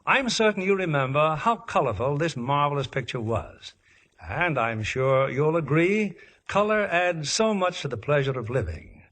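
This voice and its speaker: A man's voice: fairly high pitch, expressive, normal speed, fairly bright tone. A man hosting a 1950s radio show, delivering scripted introductions and narration as announcer. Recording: vintage 1950s radio broadcast.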